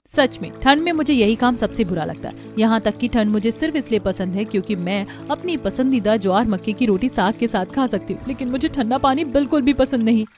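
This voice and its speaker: A woman's voice: a little high, fairly quick, hardly any pauses, darkish tone, faint music behind, close to an everyday voice. A woman voicing an eager young daughter-in-law in a Hindi family cartoon. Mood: complaining. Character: eager young daughter-in-law who loves village food.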